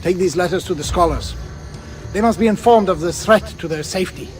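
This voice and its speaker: Man playing a high-voiced earnest Renaissance scholar. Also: brisk, soft background music, close to an everyday voice. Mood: philosophical. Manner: philosophical, lecturing, formal. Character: earnest, questioning Renaissance astronomer, male Prussian scholar. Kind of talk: video game voice acting.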